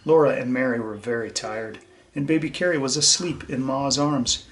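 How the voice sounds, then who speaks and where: A man's voice: mid-range voice, normal speed. Man reading to children, noisy recording. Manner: simple, explanatory, addresses children directly. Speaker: teacher reading a picture book aloud to children. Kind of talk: children's read-aloud with asides to young listeners.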